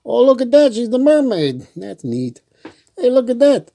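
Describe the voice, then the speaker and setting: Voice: mid-range voice, very animated, normal speed, gravelly, dark tone. A children's storytime host reading a picture book aloud expressively, with playful asides to the listeners.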